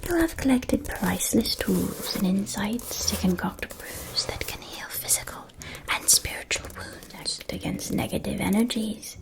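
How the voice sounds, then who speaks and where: A woman whispering softly and carefully in an ASMR roleplay, playing a gentle, doting witch shopkeeper with storybook phrasing. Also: mid-range voice, animated, a little slow, low-quality recording. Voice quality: breathy, unvoiced whisper.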